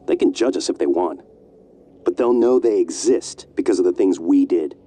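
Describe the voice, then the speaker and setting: An actor playing a confident, flippant scientist talking to a camera in a video game, casual and wry. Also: male voice, low voice, expressive, normal speed, mellow. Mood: confident, resolute. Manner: casual, self-assured, wry. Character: confident, flippant male scientist recording himself.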